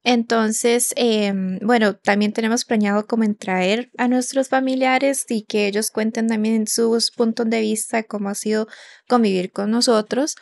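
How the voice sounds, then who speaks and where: A young woman who grew up in Canada talks in a loose, chatty way, filling her pauses with soft ums and ready laughs. Her voice sits a bit higher than most women's. The sound is close and dry, with no room echo. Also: fairly even intonation, gravelly. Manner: chatty, with many fillers and quick laughs. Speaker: podcast co-host. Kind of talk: casual podcast chat.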